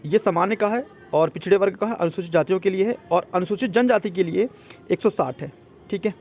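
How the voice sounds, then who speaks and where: A man's voice: high-pitched, brisk, darkish tone. A man gives an informal explainer, mixing in English words and often checking that listeners follow. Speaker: exam coaching presenter. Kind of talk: informal explainer of a job notice.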